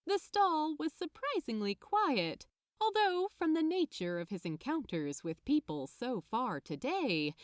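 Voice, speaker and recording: Female story narrator, very animated intonation, unhurried pace, expressive scripted read. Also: sits high, dry recording, studio quality. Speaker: fan fiction narrator.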